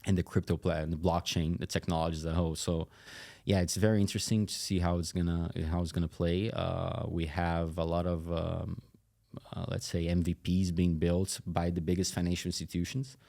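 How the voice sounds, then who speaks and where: A man from Brazil with a Brazilian accent, a native Portuguese speaker, talking casually in an interview and often pausing mid-phrase. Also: deep voice, level tone, creaky, mellow. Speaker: podcast guest, company executive.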